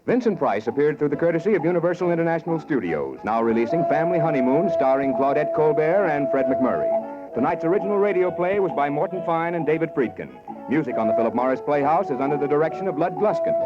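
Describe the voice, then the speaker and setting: A man's voice: fairly high pitch, lively intonation, quick pace, loud music behind the voice. An American man making formal, scripted announcements in the style of 1940s network radio. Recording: dull 1940s radio recording.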